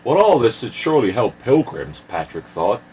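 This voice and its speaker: A man's voice: mid-range voice, sing-song, moderate pace, mellow, slight background noise, lightly acted, rough recording. A man voicing a pompous storyteller reciting in a theatrical way.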